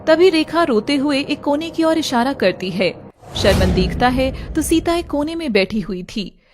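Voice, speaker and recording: Female narrator, high-pitched, dramatic scripted storytelling, music underneath. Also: animated, a little slow.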